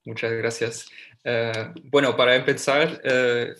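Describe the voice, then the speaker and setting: A man's voice: medium pitch, steady tone, slow pace, a bit bright. A man who is not a native Spanish speaker, a company founder speaking as a guest with an Argentine-learned accent and searching hesitantly for words. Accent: Argentine Spanish, learned as a second language.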